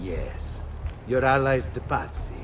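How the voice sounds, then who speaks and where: An actor playing a stern, dignified ruler in a video game. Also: male voice, medium pitch, expressive, slow pace, recorded from a distance, background noise. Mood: indignant.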